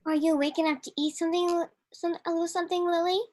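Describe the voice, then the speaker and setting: A young-sounding actress playing a kindly, motherly baker in a live radio drama, gentle and coaxing. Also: very high-pitched, flat delivery, quick pace. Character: kindly, motherly woman baker nursing a patient.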